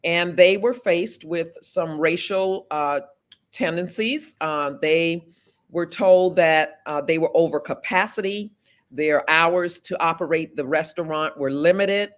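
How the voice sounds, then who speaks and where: A woman's voice: on the low side, measured pace. Earnest woman candidate in her fifties. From South Florida, USA. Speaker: political candidate. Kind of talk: interview answers.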